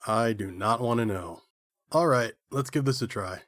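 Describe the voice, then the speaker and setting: A man's voice: medium pitch, moderate pace, a bit bright, no echo, studio quality, close to an everyday voice. A man playing a practical, game gnome with an offhand delivery in a comedic audio skit.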